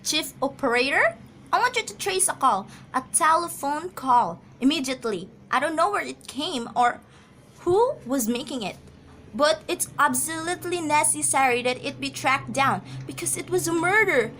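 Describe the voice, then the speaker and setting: A woman's voice: high-pitched, lively intonation, normal speed, fairly bright tone, slight background noise. A woman acting an anxious, imperious, easily flustered wife in an amateur radio drama, agitated and stammering. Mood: urgent.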